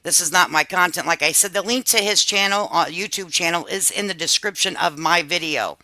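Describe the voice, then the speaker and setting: A woman hosting a reaction commentary channel, pausing another video to talk over it in a chatty way, scolding commenters and laughing at herself. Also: on the low side, sharp tone, dry recording.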